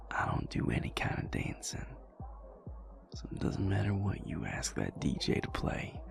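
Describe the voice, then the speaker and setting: A man playing a dry, affectionate, stubborn vampire boyfriend in an intimate audio roleplay, speaking in a gravelly, breathy murmur with a teasing drawl. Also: sits low, sing-song, takes it slowly, pauses often, in a whisper, exaggerated voice. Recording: loud music behind the voice. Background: club music.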